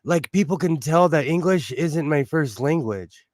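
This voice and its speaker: Chatty man with a higher, dry voice. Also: animated, a little mellow, dry recording. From Mexican-American family. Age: middle-aged. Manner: chatty, laughs often, quick asides. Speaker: livestream host. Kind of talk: casual chat on a live stream.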